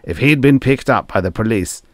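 A man's voice: mid-range voice, lively intonation, moderate pace, no echo, clean studio sound, voice pushed up, lightly acted. A man voicing a harried middle-aged male TV producer who speaks grimly and gratingly. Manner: grating and grim.

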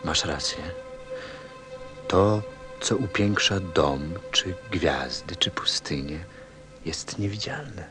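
A tender, reflective male pilot in a radio play. His low voice moves slowly and with wide, expressive swings of pitch, full of thought and feeling. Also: faint music behind, exaggerated voice. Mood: quiet, reflective. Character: tender, thoughtful stranded pilot, a grown man. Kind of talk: radio play acting and first-person narration.

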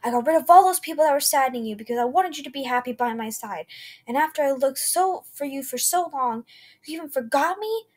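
A crazed male toy maker, a villain who speaks with eerie calm and then raves and growls with fury, as a woman puts him on in a horror story. His voice sits a little high and pushes hard with menace. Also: a little fast, lightly acted. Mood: raving.

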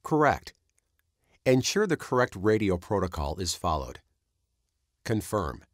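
A man reading scripted instructional narration as a training presenter, in a measured, instructive manner. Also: on the low side, sing-song, no room sound, clean studio sound.

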